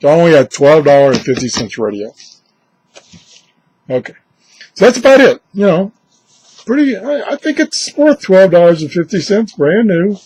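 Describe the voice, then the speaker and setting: A man's voice: sits high, big pitch swings, moderate pace, pauses often, a little mellow, husky, poor audio. A man giving a casual, unscripted product review in a conversational way, musing aloud with wry asides. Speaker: product reviewer presenting a gadget.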